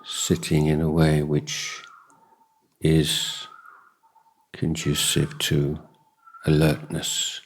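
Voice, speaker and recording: A man who teaches meditation as a Buddhist monk, speaking in a measured, deliberate way with long pauses in mid-phrase and a husky voice. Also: extremely low pitch, completely flat delivery. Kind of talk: guided meditation and dhamma talk.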